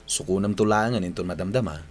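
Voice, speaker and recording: A man's voice: low voice, quick pace. A man acting a doting, playful father in an Ilocano radio drama, with a teasing, affectionate delivery. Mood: cheerful coaxing.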